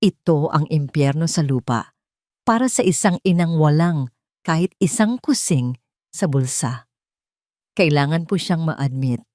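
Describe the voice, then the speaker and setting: A Filipino woman narrates a dramatic audio story in a low voice. Her delivery is solemn and emotive, as scripted first-person storytelling. The sound is dry and close, with no room around it. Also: lively intonation, measured pace, pauses often, darkish tone, no room sound.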